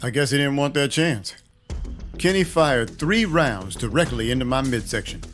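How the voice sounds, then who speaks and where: An actor playing a sardonic, brooding vampire detective in an audio drama, speaking with wry control and quiet menace. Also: male voice, medium pitch, animated, moderate pace, loud music behind the voice, natural voice. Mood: wry, grim narration. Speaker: narrator and lead character. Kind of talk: first-person noir-style audio drama narration.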